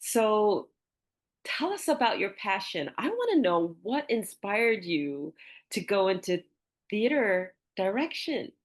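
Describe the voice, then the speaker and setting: A woman's voice: mid-range voice, very animated, takes it slowly. A woman hosting a podcast, moving between a prepared introduction and conversational interviewing. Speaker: podcast host.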